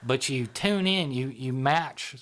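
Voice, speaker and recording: Relaxed man telling stories. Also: medium pitch, slow. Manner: relaxed storyteller, many "right?" and "you know" tags. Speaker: host. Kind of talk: casual conversational chat.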